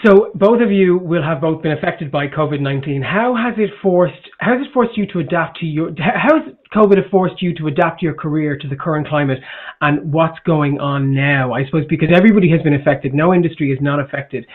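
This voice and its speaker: A man's voice: a little high, a little fast. Tinny-sounding male panel host. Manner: restarts questions. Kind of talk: moderating a panel. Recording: tinny phone-like audio.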